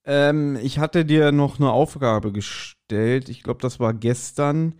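A man's voice: mid-range voice, steady tone, takes it slowly, a little mellow, clear, resonant tone. A man hosting a podcast in casual, jokey chat, with a rambling, self-deprecating manner. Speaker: podcast host.

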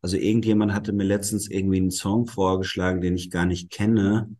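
Low-voiced man explaining at length. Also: level tone, brisk, warm tone. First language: bilingual German and Italian. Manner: thoughtful, explains at length with many fillers. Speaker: musician and guest. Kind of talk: casual interview talk.

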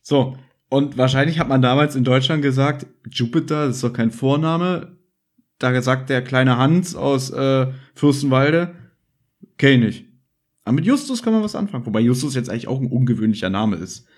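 A man's voice: mid-range voice, expressive, moderate pace. A man hosting a casual chat about audio dramas, explaining things with some teasing banter. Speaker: podcast host.

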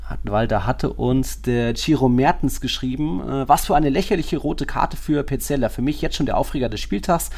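Male podcast co-host, gravelly fry, mid-pitched, casual sports talk, colloquial and loosely structured. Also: moderate pace, darkish tone. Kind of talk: casual chat, sports commentary.

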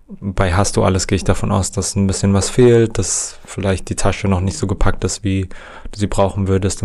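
A man's voice: sits low, a little mellow. A man hosting a podcast interview, friendly and careful, choosing his words as he asks questions. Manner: friendly, careful, searching phrasing. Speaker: podcast host.

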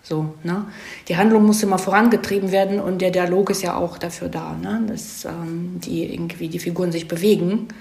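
A woman's voice: on the low side, fairly even intonation, a little fast, gravelly, darkish tone. Woman, informal interview talk, explanatory, frequent checking tag questions, roomy echo. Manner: explanatory, often ending phrases with a checking "ne?". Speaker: screenwriter being interviewed. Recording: roomy, echoing room sound.

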